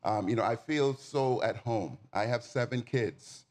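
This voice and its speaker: A man's voice: medium pitch, a little slow, darkish tone. A man in his forties from the Bahamas, giving a sermon as a guest preacher in an informal, folksy way, speaking directly to the congregation. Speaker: guest preacher and nonprofit community manager.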